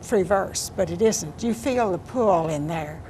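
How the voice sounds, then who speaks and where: An older woman speaks in a soft, unhurried way, giving plain answers about her writing. Her voice sits a little low. A clear hum of background noise runs under the recording. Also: animated. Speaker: interviewed poet. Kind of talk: casual interview answers. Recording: noisy background.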